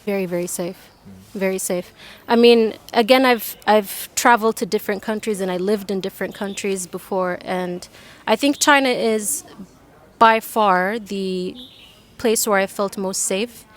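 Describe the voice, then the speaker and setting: A woman's voice: medium pitch, steady tone, a little slow. A woman in her late twenties, Palestinian Canadian, speaking earnestly and emphatically as an interviewee. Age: 20s. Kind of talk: casual interview.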